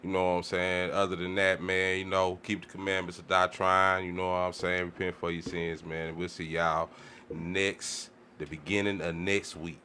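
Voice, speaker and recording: A man's voice: low-pitched, little pitch movement, normal speed, bright, forceful tone, slight background noise. An American man co-hosting a podcast, chatting casually with a lot of slang. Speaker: podcast co-host. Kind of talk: casual chat.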